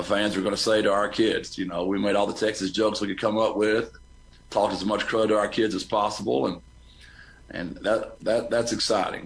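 College football coach, American man, lowish voice, speaking casually as in an interview. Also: fairly bright tone.

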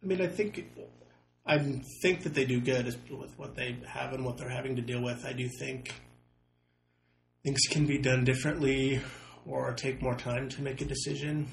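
A young man talks through his views as a council candidate, his voice level and flat with a gravelly fry. He hedges often and speaks earnestly. The room around him is echoey, with a faint hum behind. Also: mid-range voice, moderate pace. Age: young adult. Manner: earnest, hedging with "I think" and "I mean". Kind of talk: candidate interview.

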